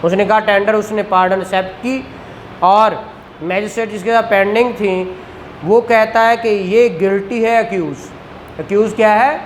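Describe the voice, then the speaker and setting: Pakistani law teacher guy reading statutes slow then explaining fast, asks the class questions, bit of room noise. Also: high voice, reverberant. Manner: reads legal text slowly word by word, then explains quickly with rhetorical questions. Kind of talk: lecture mixing Hindi-Urdu explanation with English statute reading.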